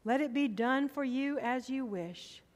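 A middle-aged woman pastor preaching a sermon from the pulpit of a church, with slow, emphatic appeals to the congregation. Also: high-pitched, long reverb tail.

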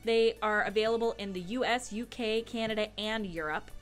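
A woman's voice: mid-range voice, normal speed. A young woman, giving a casual, laid-back tutorial voice-over as a beauty vlogger. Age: young adult. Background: background music.

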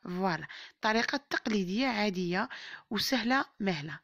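A woman with an Algerian Arabic accent and a hoarse voice, chatting to viewers as she narrates a home baking tutorial. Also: mid-range voice, animated, brisk, no echo, studio quality. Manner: chatty, warm-hearted blessings and advice to viewers. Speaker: cooking channel host. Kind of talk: home cooking tutorial voice-over.

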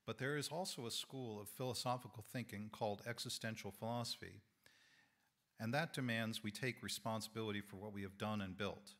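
Man, 60s, guest speaker, prepared sermon, somewhat low voice, measured and earnest, lapel mic, indoor hall. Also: a bit bright.

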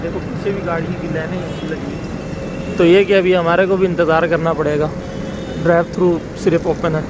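Chatty young Pakistani vlogger man, noisy phone audio. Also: high-pitched. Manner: easygoing, chatty, addresses viewers as brother. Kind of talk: casual vlog chat. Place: home kitchen, then a car in a drive-through.

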